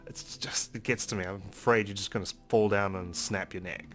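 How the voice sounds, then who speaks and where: Male let's play host, lowish voice, reading story text aloud with casual asides, soft music underneath. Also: fairly even intonation, brisk. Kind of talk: reading a visual novel aloud with casual commentary. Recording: faint game music behind. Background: game music.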